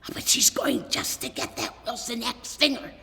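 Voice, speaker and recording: A woman's voice: on the high side, wildly swooping pitch, moderate pace, pushed and bright, whispered, voice pushed up, exaggerated voice. Woman reading as an eager young boy, echoey hall. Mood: hopeful. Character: eager, wishful young schoolboy who loves soccer. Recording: echoey hall with long reverb.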